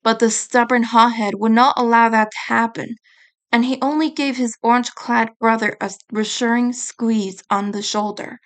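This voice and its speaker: A woman's voice: fairly high pitch, little pitch movement, moderate pace. A woman reading a piece of fan fiction aloud as scripted story narration.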